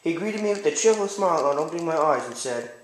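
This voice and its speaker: A man's voice: on the high side, animated, quick pace, a little hiss or hum, close to an everyday voice. A man playing a proud, condescending young man, reading first-person literary narration and dialogue formally in an amateur puppet show. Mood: reflective. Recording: echoey room.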